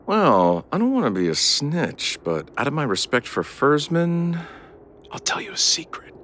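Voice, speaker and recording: An actor voicing a huffy mining-camp cook in a video game, blustering, indignant and condescending. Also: male voice, on the high side, wildly swooping pitch, unhurried, a bit bright, exaggerated voice. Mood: defensive, confiding. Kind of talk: English video game voice acting.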